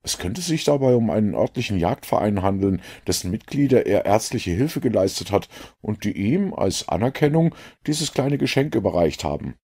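Man reading as storybook companion, eager, self-satisfied, earnest, raised voice pitch, animated. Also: moderate pace, few pauses, husky, full character voice. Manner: earnest, a little smug.

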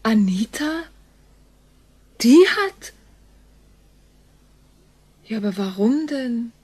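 A fairly high-pitched, down-to-earth woman, played by an actress in a sci-fi radio drama. She talks frankly and colloquially, in halting, spontaneous phrases, friendly and easily astonished. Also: animated, darkish tone, husky, no echo, exaggerated voice. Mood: shocked, disbelieving. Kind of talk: naturalistic science fiction radio play acting.

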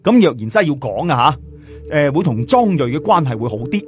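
A man narrating a story in a lively, colloquial Cantonese storytelling style, chatting in asides to listeners. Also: a little high, a little fast, soft background music. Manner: chatty, uses sentence particles and asides to listeners. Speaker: audiobook storyteller.

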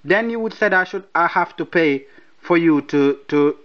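A Nigerian man with a Nigerian accent, bluntly and mockingly confronting a scam caller in slow, deliberate speech. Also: a little high, muffled recording. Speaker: person baiting a scam caller. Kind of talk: confrontational phone call.

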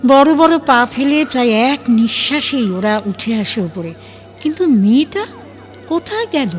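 Lady narrator doing a dramatic audio story, high pitched, soft music behind. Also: animated, a little slow. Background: faint music.

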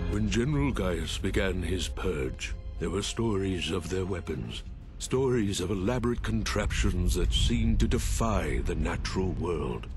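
Wise old creature chief for a game, deep voice, solemn n formal, music behind. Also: male voice. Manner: grave, measured, formal. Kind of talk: scripted game dialogue.

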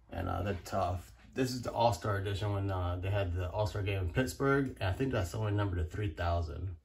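A man's voice: on the low side, creaky, husky. A man in middle age giving a casual, slangy video walkthrough at home, recorded on a handheld phone. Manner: informal, slangy. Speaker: vlogger giving a room tour. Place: carpeted room at home. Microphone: phone held in hand.

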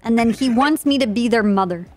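A young woman with a somewhat high voice argues a case in a tongue-in-cheek courtroom roleplay. She keeps up mock-formal courtroom manners. Faint music plays under her game voice chat. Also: brisk, darkish tone. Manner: mock-formal, addresses the judge as Your Honor. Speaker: roleplay streamer playing a police officer prosecuting in a mock court. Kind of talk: comedic in-character courtroom roleplay.

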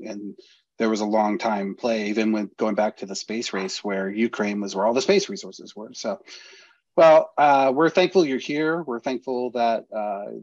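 A man's voice: mid-range voice, darkish tone, no room sound, lo-fi sound. A man in his fifties hosting a video call from a home studio, rambling with many restarts and fillers. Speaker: host. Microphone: studio mic with headphones.

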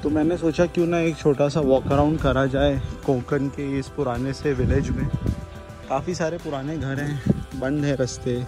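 A man's voice: medium pitch, fairly even intonation, normal speed, over music. A man giving a casual travel vlog from outdoors, switching between Hindi and English phrases as he goes. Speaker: travel vlogger. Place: outdoors at a riverside and beach, then a village.

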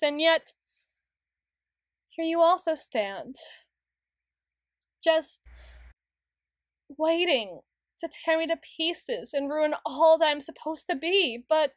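A woman voicing a flustered, self-doubting, bookish young tsundere in a halting ASMR roleplay monologue. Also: sits high, no echo, studio quality. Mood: agitated, vulnerable. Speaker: audio roleplay performer.

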